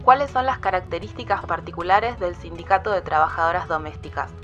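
A woman's voice: on the low side, brisk, highs cut off, over music. A woman presenter reading scripted questions and segment titles.

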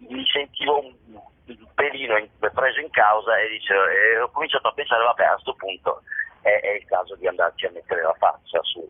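Husky low-voiced man on a phone line. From Italy, province of Vicenza. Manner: hesitant, repeats words as he searches for phrasing. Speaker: actor and playwright being interviewed. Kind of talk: informal, spontaneous interview talk. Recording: muffled, narrow telephone-line sound.

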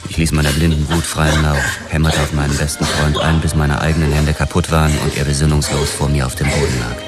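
A man's voice: rumbling low, little pitch movement, fairly quick. A man playing a young hard-boiled police detective narrating a crime radio drama in a terse, world-weary way, with music behind him. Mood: brooding, terse. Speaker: actor narrating and playing the lead.

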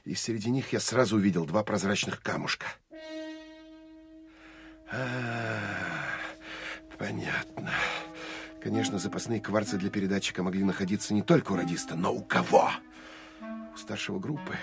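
Low-voiced, weary Soviet counterintelligence officer. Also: male voice, normal speed, vocal fry, long pauses, soft background music. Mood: wry musing. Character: male Soviet military counterintelligence officer, terse, weary, self-critical. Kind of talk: Russian audio drama first-person narration.

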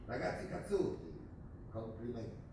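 A menacing military officer of a dictatorship, boastful and full of scorn. His delivery is declamatory and pompous, at a middling pitch. It reaches the listener from a distance, through heavy echo and clear background noise. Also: expressive, normal speed. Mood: mocking. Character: menacing, boastful Argentine army captain of the dictatorship. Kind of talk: theatrical stage drama acting. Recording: very echoey distant stage recording, noisy.

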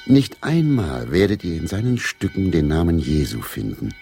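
An actor playing a genial, theatrical English stage player of centuries past in a German radio drama, courteous and expansive. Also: male voice, low voice, wildly swooping pitch, normal speed, creaky, dark tone, soft background music, heavily acted. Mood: knowing.

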